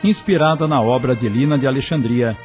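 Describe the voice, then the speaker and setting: A man's voice: medium pitch, brisk, music in the background. A man narrating a scripted radio drama in a dramatic storytelling style.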